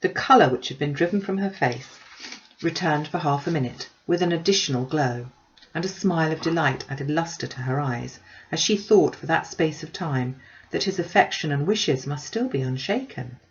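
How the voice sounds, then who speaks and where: A woman with a low-pitched voice reads a classic novel aloud as scripted narration. The recording sounds slightly dull and muffled. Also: normal speed, a little hiss or hum. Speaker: audiobook reader.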